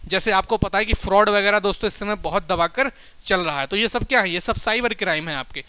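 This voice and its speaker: A man's voice: high voice, brisk, sharp tone, clear, resonant tone, dry recording. A man teaching exam aspirants in an informal lecture, emphatic and instructive, often checking in and addressing listeners as friends.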